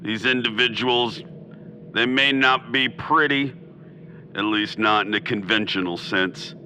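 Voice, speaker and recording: Man acting forceful, blustering military commander. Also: mid-range voice, measured pace, a little hiss or hum. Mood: confident. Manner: pompous, declamatory, folksy. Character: blustering military field commander and bomber lieutenant. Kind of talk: comedic retro radio drama acting.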